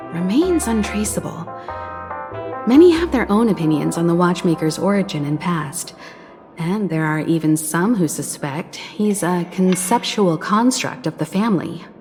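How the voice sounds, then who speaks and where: Calm, in-charge crew leader in a game, even and measured, music under her. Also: fairly low pitch. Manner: measured and authoritative, gives calm orders. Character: poised, composed woman leading a crew. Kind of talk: video game dialogue.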